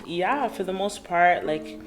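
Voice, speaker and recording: A woman's voice: fairly low pitch, takes it slowly, music in the background. A woman in her twenties, a podcast guest talking casually and animatedly, with frequent "like" and laughter. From Canada. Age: 20s. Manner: chatty and animated, lots of "like" and laughs. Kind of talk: casual interview chat.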